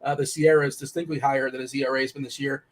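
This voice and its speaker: A man's voice: medium pitch, flat delivery. A young man analysing fantasy baseball picks in casual chat, rambling and correcting himself as he goes. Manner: rambling, self-correcting, quick asides. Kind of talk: casual sports betting chat.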